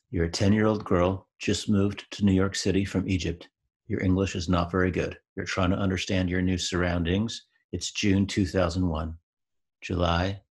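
A podcast host with a very deep, husky man's voice. He tells the story in a calm, measured way before he turns to questions. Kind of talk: scripted narration and interview questions.

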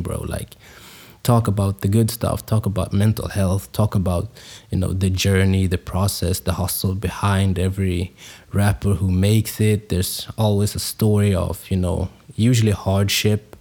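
A young man speaks in a fairly low, mellow voice. He hosts his own podcast casually, wandering through his thoughts with plenty of fillers and self-corrections. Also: normal speed. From Congo, raised in Sweden. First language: Swedish. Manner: relaxed, rambling, many fillers and restarts. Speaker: podcast host, music producer. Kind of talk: casual solo podcast intro.

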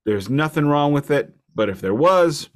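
A man co-hosting a podcast, casually discussing albums in a wry, deliberate way, repeating phrases for emphasis. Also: on the high side, lively intonation. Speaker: podcast co-host reviewing albums.

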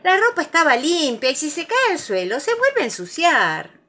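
A woman acts a careful mother kangaroo in a children's tale. She reproves in a raised, animated voice. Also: on the high side, moderate pace, voice pushed up. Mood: reproving. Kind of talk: children's storybook character voice.

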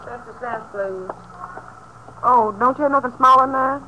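Woman playing a fairly high-voiced ticket seller. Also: normal speed, lightly acted. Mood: businesslike. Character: polite, businesslike woman movie-house ticket seller. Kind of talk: 1950s radio drama acting. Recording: noisy, muffled old-time radio recording.

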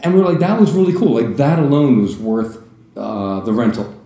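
A man with a deep voice and an American accent, a podcast guest telling stories with lively asides. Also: moderate pace, reverberant. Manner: storytelling, animated asides. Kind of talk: casual podcast chat.

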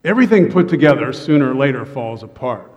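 A man's voice: mid-range voice, animated, quick pace, a little mellow, muffled recording. A middle-aged man preaching a humorous sermon to his congregation from a church stage, with joking, self-deprecating asides. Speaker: pastor. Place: church sanctuary. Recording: long reverb of a church hall.

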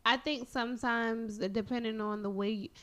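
A woman in a casual conversation who talks through her views in a measured, thoughtful way. She questions the other side calmly instead of pushing back hard. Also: on the high side.

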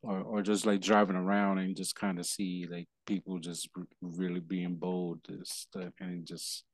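A man hosting an interview, speaking in a halting way, with many restarts and repeated words. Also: low-pitched, little pitch movement, no room sound. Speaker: interview host. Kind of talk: casual interview chat.

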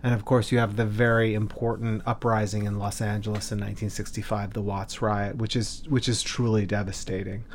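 A man speaking as a scholar in an interview, explaining history in a measured, emphatic way with deliberate lists. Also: fairly low pitch, little pitch movement, slight background noise. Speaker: scholar, expert commentator.

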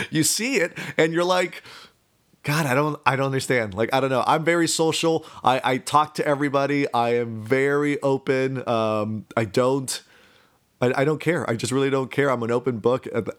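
A man's voice: mid-range voice, fairly bright tone. A young adult man speaks in a mid-range voice. He talks casually and personally, rambling a little as he feels his way through each thought with hesitant restarts. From Miami, United States. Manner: rambling, hesitant, thinking aloud. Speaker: speaker telling about own life.

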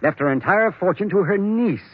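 An actor playing a hard, scheming ex-lawyer in an old-time radio drama, blunt, needling and insinuating. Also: male voice, high voice, animated, normal speed, husky. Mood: scornful. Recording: muffled old radio recording.

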